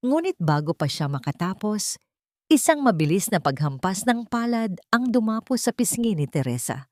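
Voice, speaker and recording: A woman's voice: mid-range voice, sing-song, moderate pace, darkish tone, no echo, clean studio sound. A woman reading dramatic scripted story narration as an audiobook narrator.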